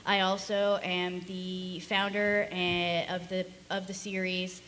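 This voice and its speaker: A woman's voice: lowish voice, flat delivery, slow pace, fairly bright tone. A woman speaking informally as host of a convention panel, casual and rambling, in a large hall. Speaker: panel host, series founder and voice actor. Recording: echoey noisy convention hall PA.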